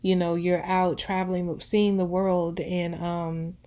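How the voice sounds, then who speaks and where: A woman's voice: fairly low pitch, level tone, slow, mellow, clean tone. A woman with a casual, conversational delivery giving an intuitive pick-a-card reading, often circling back to rephrase her thoughts as she goes. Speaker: tarot reader, host.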